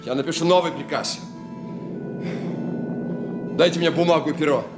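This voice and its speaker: A man's voice: on the high side, normal speed, over loud music, close to an everyday voice. An actor playing an imperious but relenting king in a radio drama, with a commanding, clipped delivery. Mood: stern, relenting. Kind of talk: dramatic radio play acting.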